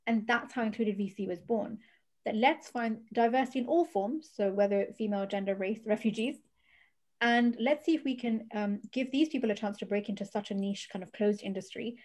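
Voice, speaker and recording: A woman's voice: on the high side, a little fast, a little mellow. A woman speaking as a panelist, explaining her points earnestly. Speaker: panelist, venture fund founder. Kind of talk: panel discussion.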